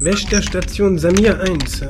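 High-voiced, businesslike starship crewman. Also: measured pace. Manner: matter-of-fact reporting. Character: clipped, businesslike male starship crew member. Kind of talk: German audio drama acting. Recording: clear noisy spaceship ambience.

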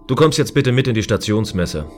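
A man's voice: lowish voice, animated, brisk, music in the background, close to an everyday voice. A man playing a stern, controlled space-station guardian in a science-fiction audio drama, speaking in a dry, formal, authoritative way.

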